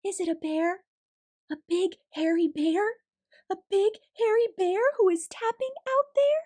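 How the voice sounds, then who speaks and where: A woman's voice: very high voice, measured pace, dark tone, pitched above the performer's own voice. A woman voicing a timid little male mouse from a storybook who tries to sound brave and often speaks in questions. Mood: scared. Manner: rhyming, exclamatory questioning.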